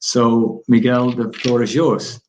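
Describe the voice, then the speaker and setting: A man's voice: medium pitch, flat delivery, slow pace, darkish tone, a little hiss or hum, low-quality recording. A man moderating a panel discussion. He speaks politely and often repeats words as he starts his sentences.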